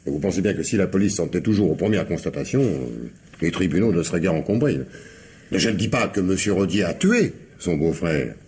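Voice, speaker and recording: Deep, husky, probing police commissioner. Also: male voice, lively intonation, fairly quick, a little mellow, full character voice. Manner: hesitant, muttering restarts, then abrupt pressing questions. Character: probing, insistent male police commissioner, gruffly courteous. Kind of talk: French radio mystery play acting.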